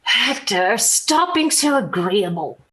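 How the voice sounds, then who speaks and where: A woman's voice: on the high side, sing-song, slow, pushed and bright, heavily acted. Haughty, domineering radio-comedy mother. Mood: indignant. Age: middle-aged. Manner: formal, precise, withering. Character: haughty, disapproving society mother and domineering wife. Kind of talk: 1940s radio sitcom acting.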